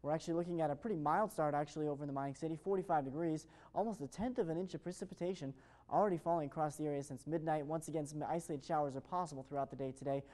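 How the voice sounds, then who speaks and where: A man's voice: fairly high pitch, racing, darkish tone. An American man presenting an ad-libbed television weather forecast in an upbeat, chatty way.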